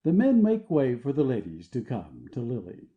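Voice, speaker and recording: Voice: medium pitch, wildly swooping pitch, moderate pace, phone-quality sound. A narrator reading the stage directions of a play as scripted narration.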